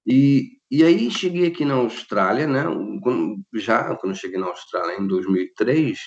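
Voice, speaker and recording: A middle-aged Brazilian man talking in an interview, animated and quick to laugh, often restarting his sentences. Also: medium pitch, dull audio. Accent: Brazilian Portuguese. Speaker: interview guest, lighting designer. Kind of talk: relaxed conversational interview.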